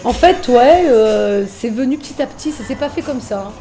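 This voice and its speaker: Middle-aged woman, relaxed chatty interviewee, faint music underneath. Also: on the high side, moderate pace. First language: French. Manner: relaxed, chatty, many fillers. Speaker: musician guest. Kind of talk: casual interview conversation.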